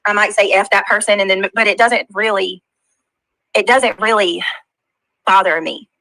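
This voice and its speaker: Southern woman streamer, bright and halting. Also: medium pitch, steady tone, no room sound, poor audio. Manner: halting, hurt, defensive, many fillers. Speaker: livestreamer. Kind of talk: casual livestream talk.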